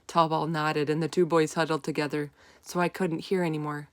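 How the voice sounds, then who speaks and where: A woman reading a children's story aloud in an expressive, emphatic way, stretching out key words. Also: deep voice, fairly quick, no echo, clean studio sound. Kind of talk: expressive children's read-aloud narration in first person.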